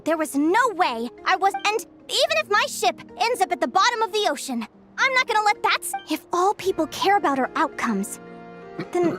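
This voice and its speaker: An actress voicing a cheerful, curious young woman researcher in a video game, talking in an eager, animated way. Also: very high-pitched, exaggerated voice.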